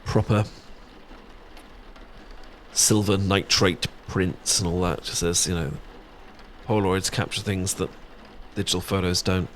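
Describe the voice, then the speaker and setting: A British man playing an affectionate, playful young best friend in an intimate, casual ASMR audio roleplay, chatting and teasing with soft tag questions. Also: low-pitched, fairly even intonation, unhurried, long pauses, slight background noise. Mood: playful, fond.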